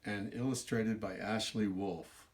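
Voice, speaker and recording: A man's voice: fairly low pitch, fairly even intonation, a little slow. A man telling a children's story as a storyteller, reading aloud expressively, stretching words for emphasis and adding explanatory asides. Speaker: storyteller reading his own book.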